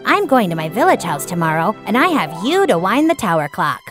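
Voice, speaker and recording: A woman voicing a young, self-assured tiger boy in a children's cartoon, with an expressive, emphatic delivery. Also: medium pitch, fairly quick, over music, close to an everyday voice. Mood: relieved. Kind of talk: children's animated story dialogue.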